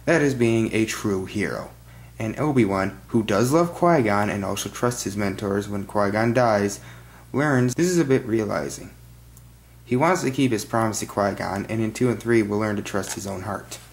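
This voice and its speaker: A young man reading a film review in a flat, monotone manner, recorded on a webcam in a small bedroom. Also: lowish voice, normal speed. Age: young adult. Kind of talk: read-out film review monologue. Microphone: webcam at desk.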